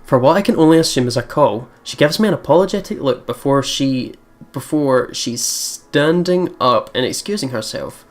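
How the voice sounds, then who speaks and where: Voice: medium pitch, expressive, normal speed. A let's play host casually commenting and reading game text aloud, informal and prone to swearing. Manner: chatty, informal, swears freely.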